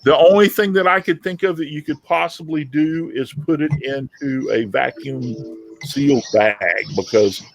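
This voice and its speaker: A man's voice: a little high, moderate pace, vocal fry, soft background music. A man hosting a casual live group chat about his craft, talking in a folksy, rambling way and often repeating himself. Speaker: host of a woodworking talk show.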